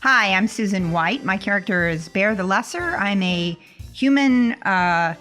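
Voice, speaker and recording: A woman describing her character as a player in a casual tabletop game, halting and thoughtful. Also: medium pitch, lively intonation, fairly bright tone, soft background music.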